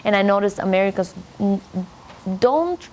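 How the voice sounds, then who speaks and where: A woman's voice: medium pitch, normal speed, slight background noise. A young woman from the Mediterranean, a student talking casually and expressively in an interview. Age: young adult. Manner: expressive, gestures while talking.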